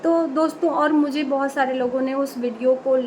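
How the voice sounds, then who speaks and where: A woman's voice: sits high, steady tone, normal speed, a little mellow, slight background noise. A woman vlogger reacting to a call recording, talking straight to her viewers in an earnest, opinionated way, often breaking off and correcting herself. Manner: many fillers and self-corrections. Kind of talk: direct-to-camera commentary.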